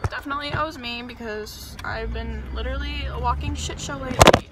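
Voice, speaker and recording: A young woman rambling to a dashboard camera while sitting and driving in her car, chatty and exasperated, getting loud and then going soft. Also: fairly high pitch, fairly even intonation, brisk. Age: young adult. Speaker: vlogger. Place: inside a parked and moving car, daytime. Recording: car with road noise.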